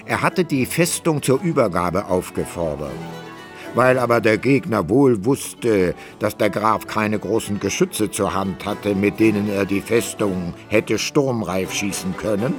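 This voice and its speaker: A man narrating a literary radio play as a deliberate, rhetorical storyteller. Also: on the low side.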